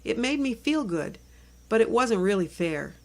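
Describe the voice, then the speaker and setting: A woman voicing a kind, fair-minded young schoolgirl in a storybook, earnestly explaining in a gently serious way. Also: on the high side, sing-song, normal speed, creaky, voice pushed up.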